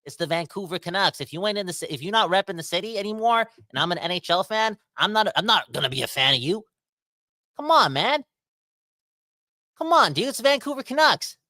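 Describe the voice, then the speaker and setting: A man's voice: high voice, fairly quick, dry recording, studio quality. A man, hosting a podcast livestream, reading viewer comments and ranting in casual slang. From Canada. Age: 30s. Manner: animated, rambling, slangy, talks straight to the audience. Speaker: podcast host reading live chat comments.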